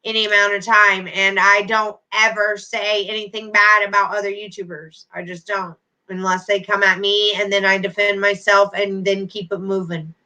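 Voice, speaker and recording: A woman's voice: medium pitch, flat delivery, moderate pace, hardly any pauses, pushed and bright, poor audio. A middle-aged woman hosting a casual livestream, chatting to viewers by name with endearments. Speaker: YouTube livestream host.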